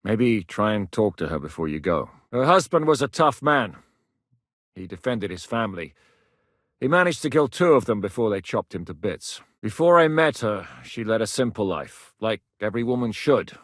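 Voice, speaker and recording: A man voicing a blunt, steady mercenary captain in a video game, gruff and plain-spoken, recorded in a dry studio booth. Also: on the low side, moderate pace, dry recording. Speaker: mercenary leader.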